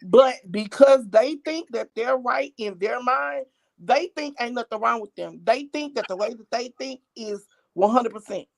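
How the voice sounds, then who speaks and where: An older woman calling in to a show, talking bluntly and excitedly in casual slang. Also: on the high side, normal speed, vocal fry. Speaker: caller. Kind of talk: casual phone-in chat.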